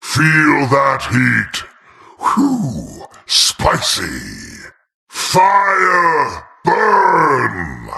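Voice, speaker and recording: Gravelly, manic fiery dungeon master. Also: male voice, medium pitch, pushed and bright, full character voice. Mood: gleeful menace. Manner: theatrical, taunting, drawn-out emphasis. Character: manic, gleeful fiery dungeon master relishing burning everything. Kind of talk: video game character voice lines.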